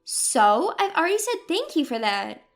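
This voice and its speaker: Woman acting a brazen, snappish daughter-in-law, pitched high. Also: sing-song, normal speed, faint music behind. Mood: defiant. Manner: defiant, dismissive, snapping. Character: brazen, quick-tempered lying middle-aged daughter-in-law.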